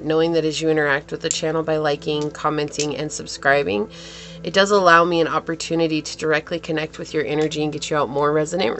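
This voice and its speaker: A woman's voice: deep voice, fairly bright tone. A young woman with a scratchy voice, chatting casually and reassuringly as she gives a tarot reading. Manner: chatty, reassuring, laughs easily. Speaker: tarot reader.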